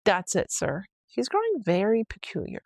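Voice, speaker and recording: A woman's voice: mid-range voice, wildly swooping pitch, moderate pace, creaky, a little mellow, dry recording. Woman voicing a flustered housekeeper with a dry, close sound. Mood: earnest, bewildered. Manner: hesitant, respectful, explaining. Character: flustered, deferential housekeeper woman, puzzled and anxious to explain. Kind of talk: storybook read-aloud character voice.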